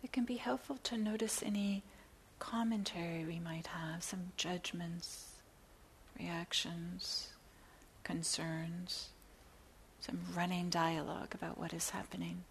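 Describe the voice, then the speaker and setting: A woman teaching guided meditation in a soft, breathy near-whisper, gently and with long pauses between phrases. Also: fairly low pitch, steady tone. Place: meditation center. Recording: clear background hiss.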